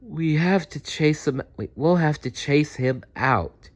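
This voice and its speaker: A small boy dinosaur from a storybook , voiced by a man, pitched up from a grown-up register. He sounds childlike, curious and earnest, a little shy but with a bold streak. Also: expressive, takes it slowly. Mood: sleepy, determined.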